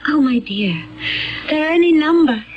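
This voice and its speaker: An actress playing a serene, remote woman accused of murder in a radio drama, with formal, deliberate phrasing. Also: sits high, animated, muffled recording, slight background noise. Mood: calm, serene. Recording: band-limited old radio drama recording.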